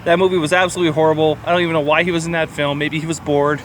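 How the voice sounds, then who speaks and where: A man with a voice pitched a little above average co-hosts a casual film review. He rambles and gives his opinions bluntly, swearing as he goes. Faint traffic noise sits behind him. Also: little pitch movement, rapid-fire. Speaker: podcast co-host reviewing films. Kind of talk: casual movie review chat.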